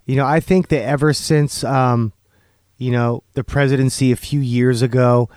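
A man's voice: mid-range voice, steady tone, normal speed, darkish tone, clear, resonant tone, dry recording. Brief: male co-host, quippy and wry, dry studio sound. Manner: joking, wry. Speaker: podcast co-host. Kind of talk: casual chat.

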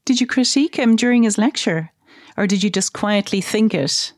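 A woman's voice: medium pitch, normal speed, dry recording, clean studio sound. A woman hosting an interview, asking engaged, guiding questions. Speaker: host.

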